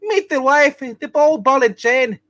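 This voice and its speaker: A man acting a cheerful, boyish younger brother in a hammed-up amateur reading, chatty and halting. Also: very high-pitched, expressive, a bit bright, full character voice. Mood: bubbly.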